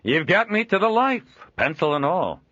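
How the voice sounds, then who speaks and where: A man voicing a jovial middle-aged traveling trader, hearty and quick to laugh. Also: big pitch swings, a bit bright, no echo, lo-fi sound, full character voice. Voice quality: hearty, booming, laughs often.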